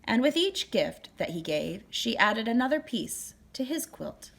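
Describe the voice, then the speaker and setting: A woman reading a children's picture book aloud as narrator, with deliberate, expressive phrasing. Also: a little high, creaky, a little hiss or hum. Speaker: storybook reader.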